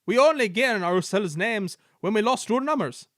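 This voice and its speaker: A pair of cheeky little engines with a Scottish accent, sly and sing-song, as a man puts them on. Their voices leap high and lively in a storybook reading. The sound is dry and close. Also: normal speed, dry recording. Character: two cheeky Scottish male twin engines.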